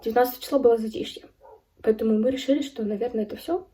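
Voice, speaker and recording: A woman in her early twenties from Ukraine giving a serious personal account as a video blogger, speaking in halting, deliberate phrases with pauses. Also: on the high side, dark tone, far from the mic, a little hiss or hum. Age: early 20s. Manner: serious, solemn. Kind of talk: personal account spoken to camera.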